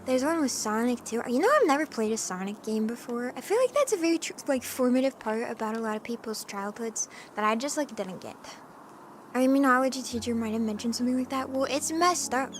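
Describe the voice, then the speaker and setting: A woman streamer chatting casually with her live chat, with a hoarse voice and a playful cat persona. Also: a little high, expressive, fairly quick. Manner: chatty and playful, often clearing her throat. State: hoarse from allergies. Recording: music playing in the background.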